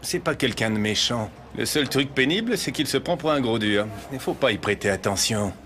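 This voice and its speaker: A man voices a sly, easygoing male fighter who speaks smoothly and teasingly. His pitch sits in an ordinary middle range. Background noise runs under this anime dub. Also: lively intonation, normal speed, a bit bright, lightly acted. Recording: noisy background.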